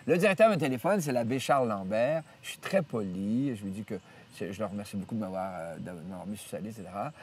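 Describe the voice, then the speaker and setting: A man, a priest telling stories from his life in an interview, rambling with many hesitations and restarts and lively retelling of what others said. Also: fairly low pitch, fast, far from the mic, a little hiss or hum. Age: 70s. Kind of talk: storytelling interview. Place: outdoors in nature.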